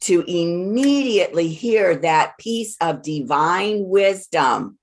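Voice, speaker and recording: A woman giving a one-on-one astrology reading, explaining in measured, deliberate phrases with repeated words for emphasis. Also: on the low side, lively intonation.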